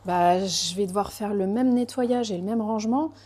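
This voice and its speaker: A woman's voice: mid-range voice, normal speed, warm tone. A young woman from France chatting casually while she bakes in her kitchen workshop, hesitant, with many fillers and restarts. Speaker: pastry baker vlogger. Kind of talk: casual chat while baking.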